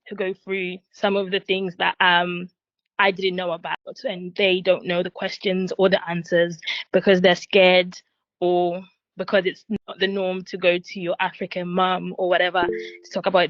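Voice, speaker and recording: A woman's voice: lowish voice, no echo. A woman in her twenties, born in Zimbabwe and raised in the UK, speaking thoughtfully on a panel with hesitant fillers. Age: 20s. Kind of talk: panel discussion on a video call. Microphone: video call. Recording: dull, dry video call audio.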